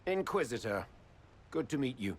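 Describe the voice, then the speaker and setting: A man's voice: mid-range voice, very animated, moderate pace, slightly rough. A man playing a courteous but blunt lord and envoy, formal and plain-spoken, in a game cutscene. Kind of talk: dramatic video game cutscene acting.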